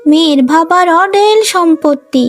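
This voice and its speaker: A woman's voice: extremely high pitch, normal speed, faint music behind. A woman voicing a pushy, scornful young daughter-in-law in an emphatic cartoon family drama style. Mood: coaxing, scheming.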